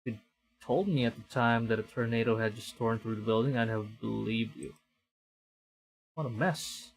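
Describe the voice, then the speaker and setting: A man's voice: mid-range voice, moderate pace, gravelly, faint music behind, low-quality recording. A male streamer reads a visual novel aloud in a mid-range voice. His narration is dramatic, and he drops into casual commentary between passages. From Philippines.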